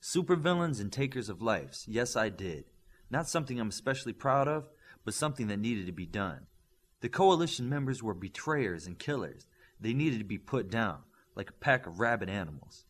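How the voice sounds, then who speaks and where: A man voicing a seasoned, grave superhero coach in an audiobook, lecturing deliberately and with emphasis. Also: fairly low pitch, big pitch swings. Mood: grave, resolute. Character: Connors, seasoned veteran superhero turned coach, earnest and grave.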